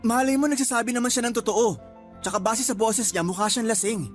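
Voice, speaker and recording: A man's voice: extremely high pitch, steady tone, a little fast, music in the background. An actor playing an earnest young male employee and loyal boyfriend in a Filipino radio drama, polite but firm. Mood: reassuring.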